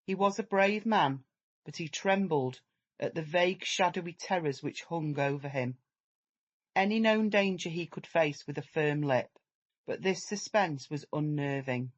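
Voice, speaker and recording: A man narrating a literary story as a measured, dramatic audiobook reading. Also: fairly high pitch, lo-fi sound.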